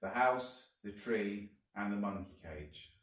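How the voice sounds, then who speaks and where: A man reading a children's story aloud like a teacher, deliberately and with dramatic emphasis. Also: lowish voice. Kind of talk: expressive storybook narration. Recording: very echoey room, distant mic.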